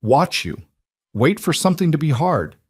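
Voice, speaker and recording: A man voicing a gentle, plainspoken, steady single dad in a narrated story. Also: a little high, normal speed, slightly rough, no room sound, clean studio sound, pitched above the performer's own voice. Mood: gentle, curious.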